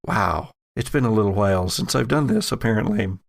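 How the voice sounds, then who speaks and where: A man hosting and narrating an actual play audio drama as game master, with theatrical, drawn-out emphasis. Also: on the low side, lively intonation, creaky, no echo, studio quality. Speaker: podcast host and game master.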